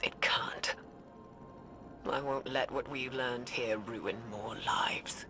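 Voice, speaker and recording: An actress playing a weary, guilt-ridden old woman scientist recording a log in a frail, breathy voice for a video game. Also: very deep voice, steady tone. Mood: grim, resolute. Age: older. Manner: slow, weary, solemn. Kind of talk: dramatic recorded log monologue. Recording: music behind the voice.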